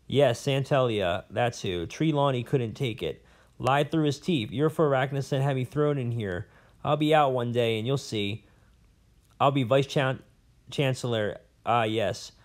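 A man's voice: mid-range voice, measured pace, close to an everyday voice. A man voicing a pompous, rambling old male professor in an audiobook, blustering and fussy. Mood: boastful. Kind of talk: audiobook character voice.

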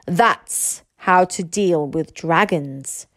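A woman's voice: on the low side, drawn-out words, dry recording, clean studio sound, heavily acted. A woman voicing a pompous, blustering Viking chief and father in a storybook reading. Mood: self-satisfied. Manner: blustering, loud commands. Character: gruff, bellowing Viking chief father, pompous and easily impressed.

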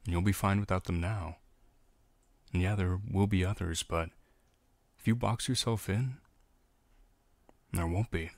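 A man's voice: low-pitched, steady tone, unhurried, pauses often, darkish tone, no echo. A man giving reflective scripted voice-over narration in a solemn, sentimental manner. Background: music.

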